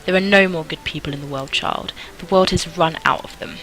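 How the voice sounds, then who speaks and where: A woman voicing a stern, cynical mother in a visual novel, cold and cutting, in a hushed delivery. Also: low-pitched, fairly quick, low-quality recording. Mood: bitter, cynical. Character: stern, cynical mother scolding her daughter.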